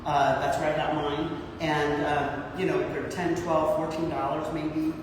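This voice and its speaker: A woman in her sixties leads a workout in a low, husky voice, cheering the class on as she counts reps aloud. She sounds far from the mic in a large, echoing hall. Steady room noise hums behind her. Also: measured pace. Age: 60s. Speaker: fitness instructor. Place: large gym.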